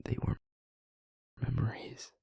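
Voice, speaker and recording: A man playing an anguished demon in an intimate audio roleplay, speaking haltingly and hushed, pleading with someone he loves. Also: dry recording, natural voice. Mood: grave, hesitant. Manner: halting, hushed, breathy near-whisper, pleading. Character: anguished male demon confessing to a loved one.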